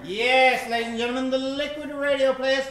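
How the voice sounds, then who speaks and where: A man's voice: very high voice, fairly even intonation, normal speed, a bit bright, echoey room, faint music behind. A man hosting a live improv show from a theater stage, with theatrical, showman-like patter for the audience. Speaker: host.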